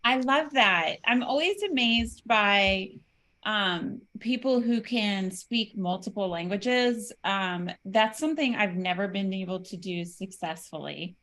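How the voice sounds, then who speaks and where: A woman's voice: mid-range voice, a little slow, fairly bright tone. Interview host: upbeat, effusive woman with a mid-range voice. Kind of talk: interview hosting.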